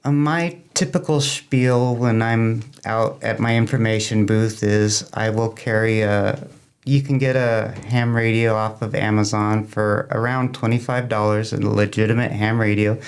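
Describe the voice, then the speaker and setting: A man's voice: fairly low pitch, steady tone, measured pace, clear, resonant tone. A man talking casually as an interview guest, explaining through anecdotes and acted-out quotes. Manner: anecdotal, acts out quoted remarks. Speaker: interview guest, amateur radio club member.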